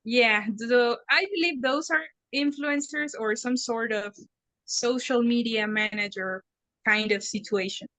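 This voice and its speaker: Bright, confident young woman. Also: on the high side, unhurried, dry recording. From Mexico City. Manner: confident, joking. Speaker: exam candidate, high school teacher.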